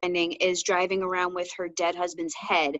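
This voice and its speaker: A woman's voice: fairly low pitch, monotone, fairly quick, a bit bright, no room sound, lo-fi sound. A woman co-hosting a book discussion in a casual, candid, conversational way, often peppering her talk with "like". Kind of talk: casual chat.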